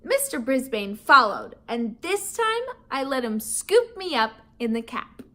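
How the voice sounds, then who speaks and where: A woman teacher reads a children's novel aloud in an unhurried, expressive way. Her voice is high and bright, and her pitch swings widely as she tells the story. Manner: expressive, animated storytelling with laughs and gasps.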